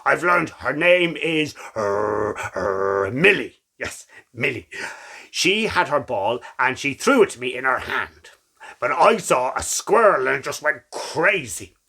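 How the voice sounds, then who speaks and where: A man voicing a loyal, excitable former stray dog in a hesitant, earnest storybook reading. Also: mid-range voice, a little slow, gravelly, husky, full character voice.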